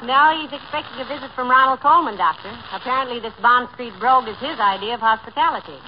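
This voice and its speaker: Woman playing a high-voiced, wry radio wife. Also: fast, lightly acted. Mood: wry, skeptical. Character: wry, sensible wife deflating her husband. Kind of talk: old-time radio sitcom acting. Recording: very noisy, muffled old radio recording.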